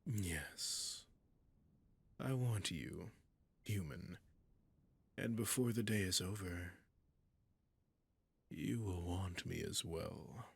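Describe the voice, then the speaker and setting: A man playing an imperious, possessive dragon king in a fantasy audio roleplay, speaking formally and deliberately in a husky growl that sinks into whispers. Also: low voice, no room sound, full character voice. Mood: amused, intrigued. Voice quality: husky, gravelly, breathy growl that drops into whispers. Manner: slow, formal, commanding, deliberate pauses. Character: imperious, possessive dragon king, menacing yet courtly suitor. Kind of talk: scripted fantasy audio roleplay addressed to the listener.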